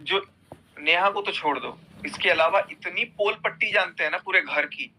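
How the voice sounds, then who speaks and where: A man's voice: sits high, normal speed, bright, forceful tone, a little hiss or hum. A man in a heated personal argument on a recorded group phone call, insistent and repeating himself.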